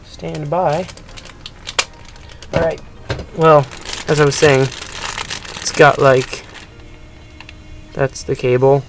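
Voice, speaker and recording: A man's voice: fairly high pitch, fairly even intonation, extremely slow, gravelly, long pauses, noisy background. Rambling young man unboxing gadgets. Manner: rambling, hesitant, many ums. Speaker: unboxing video host. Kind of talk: casual unboxing narration. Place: indoors at a table.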